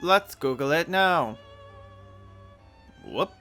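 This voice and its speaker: A man's voice: on the high side, animated, drawn-out words, pushed and bright, faint music behind. A man hosting a video essay, mixing sarcastic, mocking asides with plain argument.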